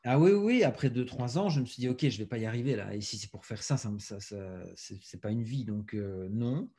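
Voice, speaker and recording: A man, a guest on a podcast, telling stories in an animated way and repeating words as he thinks. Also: mid-range voice, a little fast. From Belgium. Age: 40s. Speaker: guest, author and speaker. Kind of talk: casual podcast interview.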